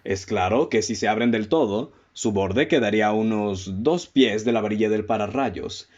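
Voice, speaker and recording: An actor playing a calm, methodical male detective in a radio drama, measured and lecturing as he lays out his deductions and poses pointed questions. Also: fairly low pitch, muffled recording, close to an everyday voice. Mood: explaining.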